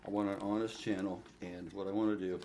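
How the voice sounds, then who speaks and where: A man's voice: low voice, little pitch movement, fast talker, dark tone, slight background noise. A man of sixty vlogging to camera, blunt and profane, often checking in with "okay?", his voice picked up by the camera mic at home. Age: 60s. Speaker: fitness vlogger. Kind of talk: casual vlog to camera.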